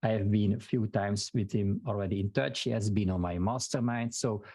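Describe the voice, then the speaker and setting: A man from Belgium with a Flemish accent and Dutch as his first language, a podcast guest giving steady, explanatory answers with frequent restarts. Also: fairly low pitch, normal speed, no room sound, clean studio sound. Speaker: podcast guest, marketing strategist. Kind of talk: interview answers.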